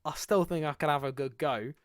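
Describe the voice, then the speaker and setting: A man's voice: on the high side, dry recording, clean studio sound. A young man co-hosting a podcast, rambling in casual chat with plenty of restarts. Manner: rambling, self-interrupting, quick to laugh. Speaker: podcast co-host.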